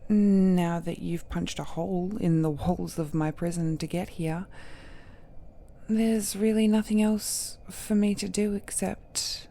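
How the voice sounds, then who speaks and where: A woman playing an ancient godlike villainess in an intimate audio drama, seductive, possessive and falsely tender, with coy endearments and a breathy voice that often drops to a whisper. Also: fairly low pitch, steady tone, dark tone. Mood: coyly confessing. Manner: slow, coy, drawn-out endearments with teasing murmurs.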